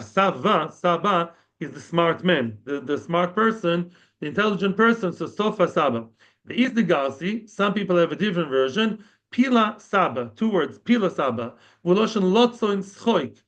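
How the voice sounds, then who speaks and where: A man's voice: high voice, animated, normal speed, fairly bright tone. A man giving a conversational Talmud lesson, explaining terms and mixing in Hebrew and Yiddish words. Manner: conversational, frequent "yeah" and restarts. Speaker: rabbi teaching Talmud. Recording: dull lo-fi audio.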